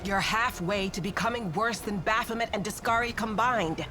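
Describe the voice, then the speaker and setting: A woman's voice: mid-range voice, moderate pace, music in the background, natural voice. A woman voicing a blunt, righteous female adventurer in a video game, scolding and urgent.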